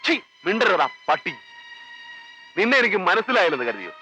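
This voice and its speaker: A man's voice: sits high, wildly swooping pitch, a little fast, sharp tone, soft background music, heavily acted. An actor playing an angry, defensive male film director who turns contemptuous with a raised voice, heard from some distance in a 1980s film.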